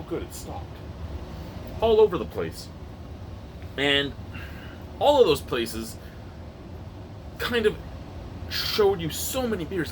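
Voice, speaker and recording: A man from Ontario with a Canadian accent giving a casual, rambling, opinionated beer review. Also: medium pitch. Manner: rambling, opinionated, occasionally profane. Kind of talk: casual unscripted product review. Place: indoor room used for recording reviews. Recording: noisy room with clear background hum.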